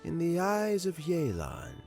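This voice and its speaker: A man playing a courteous elder mentor in a video game, speaking in a measured, formal, reflective way over music. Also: on the high side, huge pitch swings, creaky, a little mellow, heavily acted. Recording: background music.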